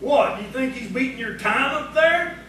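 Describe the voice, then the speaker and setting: A rustic prankster sidekick acted by a man in audio theatre, with a high voice and folksy country phrasing. He eggs on his boss. The sound is heavily reverberant, noisy and far from the mic. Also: lively intonation, normal speed, bright, forceful tone, heavily acted. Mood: needling. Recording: echoey, distant, noisy stage recording.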